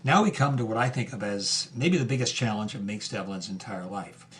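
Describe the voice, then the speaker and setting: A man hosting and narrating history in a conversational, explanatory way, leaning on key phrases with deliberate emphasis. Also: lowish voice, a bit bright. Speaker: host and narrator.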